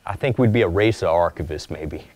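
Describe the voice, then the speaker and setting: A man's voice: sits low, brisk. A man in his forties giving a casual lecture as an archivist, talking with slides in a lecture room and acting out little asides now and then. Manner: conversational, acts out little quoted asides; calls his own voice monotone. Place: mid-size lecture room, indoors.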